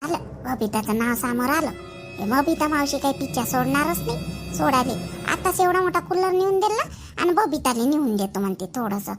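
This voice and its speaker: A woman's voice: extremely high pitch, very animated, a little fast, music in the background. A woman voicing a timid, resentful young daughter-in-law in a family cartoon, muttering hesitantly in a Varhadi Marathi accent. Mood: grumbling. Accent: Varhadi Marathi (Vidarbha). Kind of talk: animated family drama acting.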